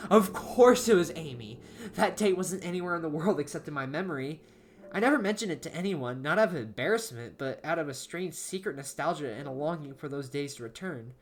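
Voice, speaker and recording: A woman's voice: deep voice, animated, quick pace. A woman giving an amateur, dramatic narration of a horror story.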